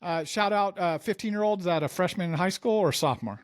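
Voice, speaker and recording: A man's voice: high voice, quick pace. Chatty man with a raised voice. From California. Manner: rambling, conversational, many fillers. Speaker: podcast host. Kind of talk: casual interview chat.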